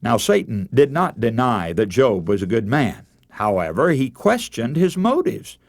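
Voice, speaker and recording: A man delivers a radio sermon in formal, measured oratory. His mid-pitched voice rises and falls in a lively way as he tells Bible stories with dramatic expression. The sound is dry, with very little room to it. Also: vocal fry, no echo. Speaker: preacher.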